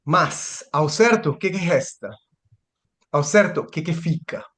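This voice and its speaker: A man's voice: fairly high pitch, a little slow, a bit bright. A man giving a seminar lecture, thinking aloud with restarts and rhetorical questions.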